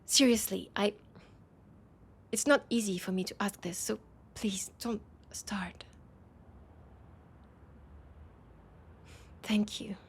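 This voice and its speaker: A woman with a French accent playing a proud, worried vampire of a supernatural council in an intimate audio roleplay, speaking in an earnest, halting way. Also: mid-range voice, a little hiss or hum, lightly acted. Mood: hesitant, pleading.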